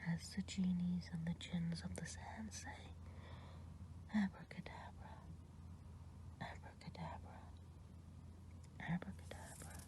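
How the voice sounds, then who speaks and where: A woman playing a kindly good witch healer in an ASMR roleplay, speaking in a soft, soothing whisper. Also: lowish voice, steady tone, measured pace, long pauses, close to an everyday voice.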